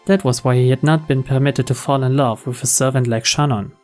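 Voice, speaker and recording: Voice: mid-range voice, fairly even intonation, fairly quick, a little mellow. A narrator reading scripted visual novel story text. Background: background music.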